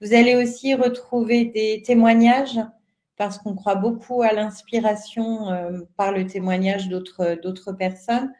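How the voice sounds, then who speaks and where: A woman's voice: mid-range voice, steady tone, unhurried, darkish tone. A woman from northern France speaking on a webinar panel, explaining things earnestly with frequent hesitations. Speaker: panelist, self-employed business owner.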